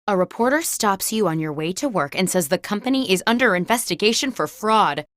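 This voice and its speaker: Lady doing an office interviewer for a video game, super animated pitch, reads prompts crisply, dry close sound. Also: fairly quick, no echo, natural voice. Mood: composed, quizzical. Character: office job interviewer posing workplace questions. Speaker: game host reading prompts. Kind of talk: scripted video game host narration.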